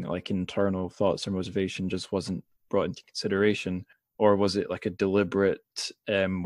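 A man's voice: low-pitched, darkish tone, no echo, studio quality. A man hosting a podcast, discussing literature casually in a rambling, tentative way as he thinks aloud. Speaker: podcast host.

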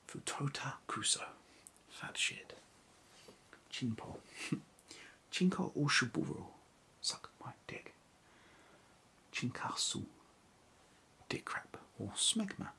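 Male vlogger, whispered delivery, bright tone, lively pitch, slow unhurried reading with mock-foreign pronunciation. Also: vocal fry, husky. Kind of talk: whispered reading of foreign swear words with translations.

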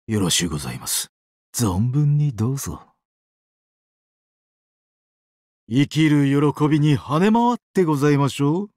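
Weepy cruel doomsday angel in a game, super polite and theatrical, highish voice, very dry close sound. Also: male voice, a little mellow, no echo. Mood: tender, tearful. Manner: exaggeratedly polite, dramatic, swinging between sorrow and glee. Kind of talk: Japanese mobile game character acting.

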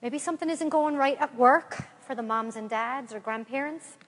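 An Irish woman in her forties addresses a congregation in a large church, her slightly high voice carrying with a long reverb. She is recorded from a camera out in the pews. She speaks in a lively, friendly way, then slows down to read a prayer. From Ireland. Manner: lively, warm address to a mixed-age congregation, then slow measured prayer reading. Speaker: retreat speaker. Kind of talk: parish talk and led prayer. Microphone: far camera in the pews.

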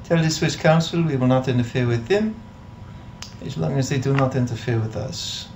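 Actor as cold, commanding Nazi officer. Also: male voice, medium pitch, normal speed, vocal fry, darkish tone, lightly acted. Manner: curt, authoritative. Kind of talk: film drama dialogue. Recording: film clip with faint background noise.

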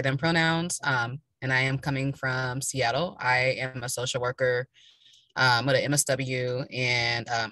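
Bright voice, thinking aloud from a car. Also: medium pitch, dry recording. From Kansas. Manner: thoughtful, thinking aloud with many fillers. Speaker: panelist, social worker. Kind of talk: casual panel talk. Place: parked car. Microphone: video call.

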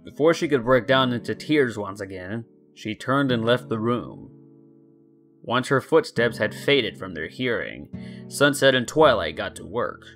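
A man in his twenties narrates a story in a scripted, dramatic reading. His voice sits at an average male pitch, with instrumental guitar music playing behind it. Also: very animated, unhurried, a bit bright. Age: 20s. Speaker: story narrator and channel host.

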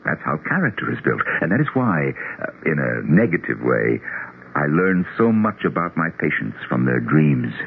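A man's voice: a bass voice, sing-song, moderate pace, gravelly, exaggerated voice. A man acting an earnest, intense grieving psychologist in a 1970s radio drama. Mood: earnest, insistent. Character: earnest, grieving psychologist obsessed with proving afterlife. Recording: muffled, noisy old radio broadcast.

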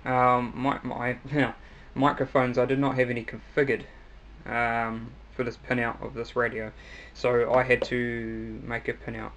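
A young man with a New Zealand accent, giving a casual, hesitant hobbyist demonstration with frequent pauses. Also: mid-range voice, little pitch movement, a bit bright. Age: young adult. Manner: hesitant, halting delivery with pauses. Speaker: hobbyist video maker. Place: small cluttered room at home, indoors. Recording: noisy amateur home recording.

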